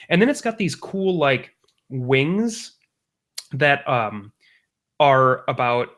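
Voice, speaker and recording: Bright-voiced man telling rambling stories. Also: mid-range voice. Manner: rambling, jokey storytelling with many fillers. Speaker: podcast co-host. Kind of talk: casual podcast chat.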